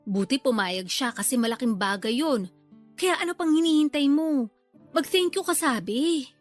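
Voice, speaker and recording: A woman's voice: high-pitched, expressive, normal speed, faint music behind. A woman playing a pushy, talkative middle-aged mother in a radio drama, coaxing and nagging in colloquial speech. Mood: resigned, nagging. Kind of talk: Filipino radio drama acting.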